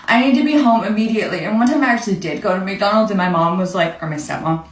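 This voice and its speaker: Young female storyteller, medium pitch, conversational and self-deprecating, recorded roomy and off-mic. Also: quick pace. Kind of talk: casual personal storytime. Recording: roomy echo, distant from mic.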